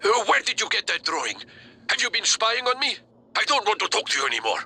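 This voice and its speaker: Haunted, sharp-voiced, hesitant scientist. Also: male voice, sits high, big pitch swings, fast, gravelly, a little hiss or hum, exaggerated voice. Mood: agitated, paranoid. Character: troubled male scientist recounting a recurring nightmare, anxious and guarded. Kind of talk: dramatic video game voice acting.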